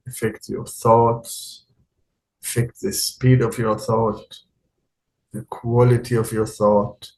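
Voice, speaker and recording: A man teaching guided meditation in a calm, unhurried way, speaking in short phrases with long pauses. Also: on the low side, a little hiss or hum, rough recording.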